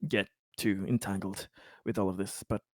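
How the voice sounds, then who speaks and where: A man's voice: deep voice, little pitch movement, normal speed, no echo, studio quality, pitched below the performer's own voice. A man playing an injured, paranoid colonist in a tabletop game, stammering and repeating himself. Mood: uneasy, subdued.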